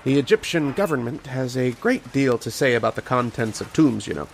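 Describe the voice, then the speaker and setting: A male actor plays a learned archaeologist in an old-time radio horror drama. His voice is creaky and medium in pitch, and he explains things thoughtfully. There is some noise behind him. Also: a little fast, natural voice. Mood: patient, explanatory. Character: learned, patient male archaeologist narrating an eerie tale. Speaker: lead actor and narrator.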